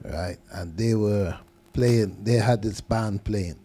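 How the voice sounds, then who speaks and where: An older man, a guest on a radio show, telling unhurried stories about how he started out. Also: lowish voice. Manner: relaxed, unhurried storytelling. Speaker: guest producer. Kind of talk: casual radio interview. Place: radio studio.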